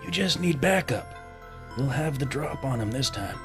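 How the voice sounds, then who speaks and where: Man as a commanding, steady hobo gang leader. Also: mid-range voice, normal speed, over loud music, natural voice. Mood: steady, reassuring. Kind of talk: audio drama acting.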